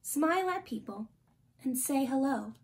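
A young woman reads a picture book aloud for children in a high voice. Her pace is very slow and deliberate, with gently expressive phrasing. She is recorded indoors in a small room. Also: vocal fry. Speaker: storytime reader.

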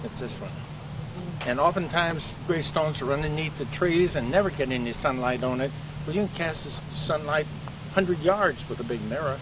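A man's voice: fairly high pitch, moderate pace. An older man leading a walking tour through a cemetery outdoors. He explains things in a chatty way, with many fillers and restarts, and is recorded on a camcorder from a few feet away. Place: outdoor cemetery among trees. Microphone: camcorder mic from a few feet away. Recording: outdoor camcorder audio with traffic noise.

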